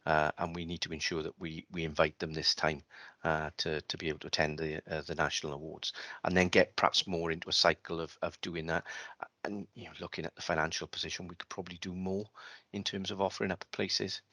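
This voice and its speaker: A man's voice: sits low, level tone, fairly quick, sharp tone, no echo. A man chairing an online meeting over a video call, talking at length with many restarts and fillers.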